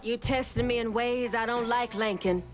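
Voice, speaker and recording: A woman playing a stern, proud crime boss in a video game, confrontational and measured as her patience thins. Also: a little high, fairly even intonation, music in the background, natural voice. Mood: displeased, threatening.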